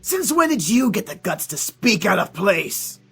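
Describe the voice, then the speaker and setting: A man voicing a violent, mocking devil villain in a visual novel, with a gravelly, harsh voice and maniacal taunts. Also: sits high, very animated, measured pace, faint music behind, exaggerated voice. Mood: furious. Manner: taunting, shouting, maniacal laughing.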